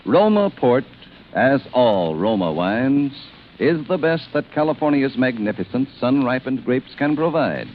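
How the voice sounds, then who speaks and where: Animated male announcer on old radio. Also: medium pitch. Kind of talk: 1940s radio advertising delivery. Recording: vintage 1940s radio, phone-like, noisy.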